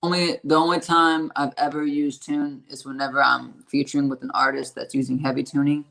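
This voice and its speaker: A man with a raspy, hoarse voice, talking about his music in a casual interview and rambling with lots of fillers and swearing. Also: mid-range voice, little pitch movement, brisk, pushed and bright, low-quality recording. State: hoarse from a strained voice. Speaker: independent musician.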